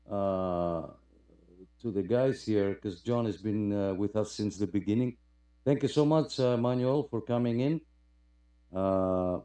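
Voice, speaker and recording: A man with a mellow, relaxed voice hosts a casual radio club livestream. He speaks in a halting way as he greets listeners and reads comments aloud. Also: mid-range voice. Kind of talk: casual chat, greeting participants and reading out comments.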